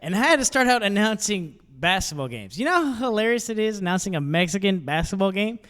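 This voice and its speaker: A man's voice: sits high, very animated, fast, fairly bright tone. A man from South Texas doing a conversational, joking stand-up comedy routine. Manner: conversational joking with acted-out quotes. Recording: long hall reverb, live stage.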